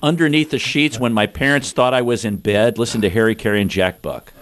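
A man's voice: medium pitch, quick pace, a bit bright. A man hosting a radio talk show, upbeat, reading scripted intros and asking guests questions. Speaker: radio host.

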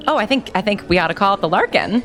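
A woman's voice: mid-range voice, lively intonation, quick pace, a bit bright, faint music behind. A woman playing a tabletop game, chatting casually and playfully about what her character does.

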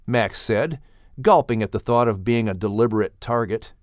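A man narrating a children's audiobook in an expressive, measured storytelling style. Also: lowish voice, dry recording, studio quality.

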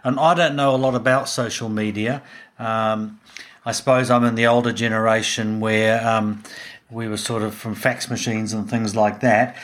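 A man's voice: lowish voice, level tone, moderate pace, fairly bright tone. A middle-aged man with a New Zealand accent, speaking as the host of a podcast. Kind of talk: scripted intro and informal interviewing.

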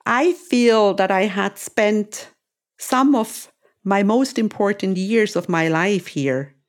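A woman's voice: medium pitch, takes it slowly. A woman in her sixties from Switzerland, hosting a podcast and telling her memories in a reflective, nostalgic way. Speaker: podcast host. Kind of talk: memoir storytelling narration.

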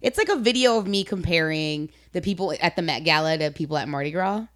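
A woman hosting a casual chat, informal and expressive, using slang and acting out what people said. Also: low voice, fast talker. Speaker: podcast host, comedian.

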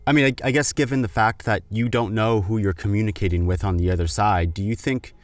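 Deep-voiced man asking hesitant questions. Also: steady tone, a little fast, dry recording, faint music behind. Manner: hesitant, rephrasing questions mid-sentence. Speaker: interviewer. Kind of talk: interview questions.

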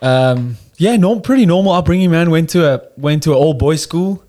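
A man's voice: fairly high pitch, lively intonation, moderate pace, warm tone. A young South African man with a South African accent, a podcast guest talking candidly, swearing now and then and often restarting his sentences. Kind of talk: casual chat.

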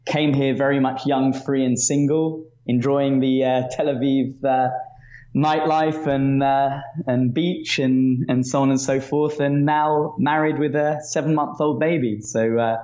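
A man's voice: medium pitch, steady tone, unhurried, darkish tone, highs cut off. A British man in his thirties from London, answering interview questions casually with many restarts and fillers over a video call. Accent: British English. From northwest London, England. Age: 30s. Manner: repeats words at phrase starts, many fillers. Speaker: startup founder, guest. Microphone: video call from home.

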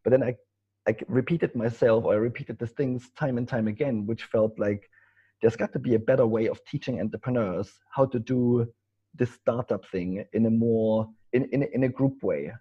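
A man's voice: mid-range voice, normal speed, breathy edge, highs cut off. A middle-aged man from East Germany with a German accent, talking loosely as a podcast guest, with many fillers and restarts. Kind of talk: casual interview talk.

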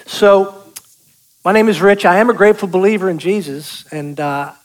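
A man's voice: high voice, moderate pace. A man, giving a folksy, joking testimony sermon and calling out to the congregation. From South Boston, US. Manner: folksy, joking, calls out to the audience. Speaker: guest speaker giving testimony.

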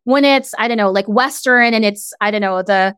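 A woman's voice: medium pitch, moderate pace, fairly bright tone, clear, resonant tone, no room sound, studio quality. A woman hosting a casual, conversational interview. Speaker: podcast host.

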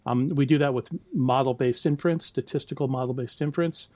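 A middle-aged American man, a biology lecturer, speaking as a podcast guest in a relaxed, explanatory way with frequent ums and some laughs. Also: mid-range voice, a little fast, dry recording. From United States. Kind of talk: casual interview talk.

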